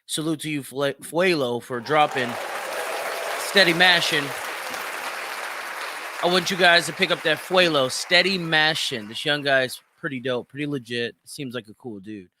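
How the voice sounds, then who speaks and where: A man's voice: fairly high pitch, a little slow, a crowd in the background, noisy background. A man hosting a show with casual, informal commentary and frequent swearing. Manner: informal, profane. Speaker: show host.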